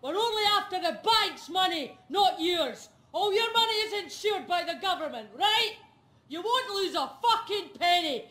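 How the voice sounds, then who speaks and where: Very high-voiced Scottish bank robber. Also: female voice, animated, normal speed, a bit bright. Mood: menacing. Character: gruffly jovial, foul-mouthed female bank robber. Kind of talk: video game voice acting. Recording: echoing room sound.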